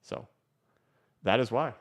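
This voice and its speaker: A man hosting a live stream, reading viewer messages and answering in a casual, rambling way with many restarts. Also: slow pace. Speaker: crypto livestream host. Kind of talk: live-stream chat reading super chats. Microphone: studio microphone.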